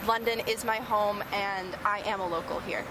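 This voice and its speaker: A woman's voice: medium pitch, fairly even intonation, moderate pace. A young woman interviewing people on the street, asking her questions hesitantly with many fillers and restarts. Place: outdoors on a busy city street. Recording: street traffic noise behind.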